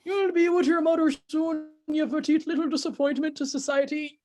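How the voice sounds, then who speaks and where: A woman's voice: high voice, flat delivery, mellow, lightly acted. A woman playing a gleeful killer leprechaun. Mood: taunting. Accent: put-on Irish. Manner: taunting, gleeful, slow. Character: menacing Irish leprechaun serial killer, male. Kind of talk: comedy sketch acting.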